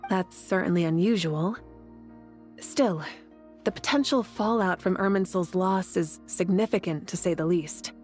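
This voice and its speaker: A woman voicing a welcoming, composed and calmly wise female leader in a video game, with a reassuring manner. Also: fairly low pitch, a little slow, soft background music, natural voice. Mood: warm, composed. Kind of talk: video game character acting.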